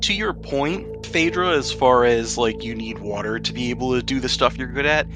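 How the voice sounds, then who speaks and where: A man's voice: medium pitch, moderate pace, music in the background. A man casually explaining situations and options as game master of a tabletop game.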